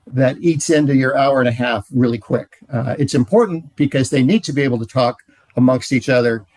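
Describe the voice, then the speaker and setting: A man leading a marriage ministry, explaining in a plain, earnest way with many pauses and "uh" fillers. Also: medium pitch, darkish tone, dry recording. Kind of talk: training session talk.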